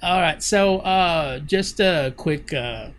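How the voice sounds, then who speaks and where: A man's voice: a little high, lively intonation, takes it slowly, a bit bright, slight background noise. A man co-hosting a casual podcast, chatting loosely with restarts and frequent laughs. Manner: laughs often, rambling with restarts. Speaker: podcast co-host. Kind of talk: casual chat. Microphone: computer mic on a call.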